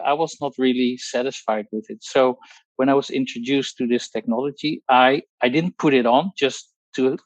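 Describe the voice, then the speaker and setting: A Dutch man in his sixties, speaking English as a second language, tells his own story as a guest on a video call. He talks with frequent pauses and restarts and uses technical wording. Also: mid-range voice, no room sound, poor audio. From Netherlands. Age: 60s. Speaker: guest, pharmacologist. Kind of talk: personal testimony on a group call.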